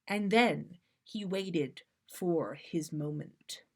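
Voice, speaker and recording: A woman hosting a story podcast, reading fan fiction aloud in an expressive, animated way with dramatic pauses and casual asides. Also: fairly low pitch. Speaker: podcast host reading listener stories.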